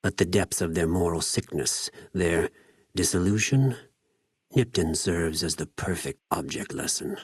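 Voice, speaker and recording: An actor playing a cold, cunning spy of a Roman-styled slaver army in a video game, speaking in a measured, formal, menacing way with precise diction. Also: male voice, low voice, husky, no echo, clean studio sound. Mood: solemn, proud. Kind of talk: scripted video game character dialogue.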